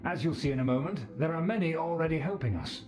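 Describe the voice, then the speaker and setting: A man's voice: a little high, expressive, fast talker, music in the background, natural voice. A man voicing a haughty, vain aristocrat in a video game, with pompous, affected formal diction. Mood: lofty, composed. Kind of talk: video game character acting.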